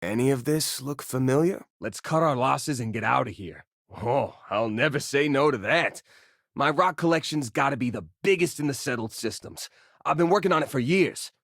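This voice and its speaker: A man's voice: medium pitch, animated, normal speed, gravelly, a bit bright, dry recording, studio quality. An actor playing a friendly, wisecracking male space miner and loyal crewmate in a sci-fi video game, with a casual, folksy, joking manner. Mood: casual, reflective.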